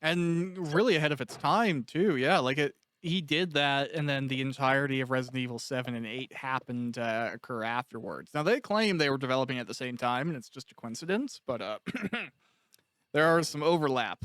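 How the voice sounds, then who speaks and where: Male podcast interviewer, smooth and crisp, informal and talkative, medium pitch. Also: animated, moderate pace, a bit bright, dry recording, studio quality. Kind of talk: casual interview chat.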